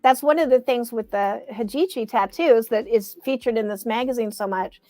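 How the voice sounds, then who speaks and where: A woman's voice: a little high, normal speed, warm tone, dry recording, faint music behind. A woman chatting casually and eagerly, rambling with lots of restarts. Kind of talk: casual chat.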